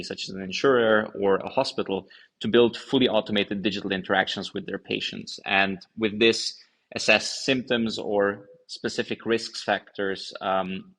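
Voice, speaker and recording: A man's voice: on the low side, fairly bright tone. A man in his thirties from Hungary, a company founder answering interview questions in an explanatory way.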